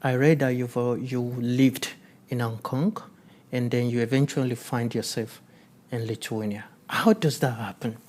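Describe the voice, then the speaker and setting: A male interviewer with a mid-pitched voice who keeps the guest talking with brief murmurs. His questions come out with restarts and searching phrasing. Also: steady tone, darkish tone. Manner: short backchannels, halting questions. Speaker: interviewer host. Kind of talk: casual interview.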